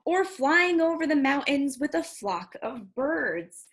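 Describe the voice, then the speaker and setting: A woman with a fairly high voice leads a children's storytime as a librarian. She reads picture books at an unhurried pace, with expression. She is encouraging and often speaks to her young listeners directly. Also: very animated, a bit bright.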